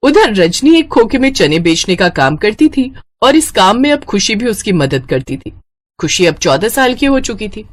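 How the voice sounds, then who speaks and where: A woman's voice: mid-range voice, very animated, normal speed. A woman narrating a scripted cartoon moral story as its storyteller.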